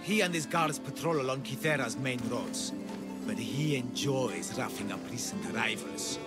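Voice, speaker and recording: An actor playing a flamboyant, pompous man who proclaims himself a god, with theatrical swells and pauses, in a video game cutscene. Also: medium pitch, over loud music, natural voice. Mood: earnest. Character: flamboyant self-proclaimed god, grandiose eccentric man with devoted followers.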